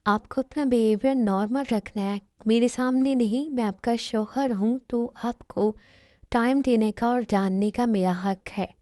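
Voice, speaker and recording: A woman's voice: on the high side, measured pace, mellow, no echo, studio quality, lightly acted. A woman voicing a stern, lecturing young husband who calls his wife to account.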